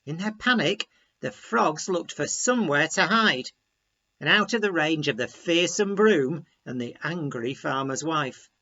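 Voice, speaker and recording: A man reading a children's story aloud in a deliberate, expressive storytelling manner. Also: fairly high pitch, muffled recording.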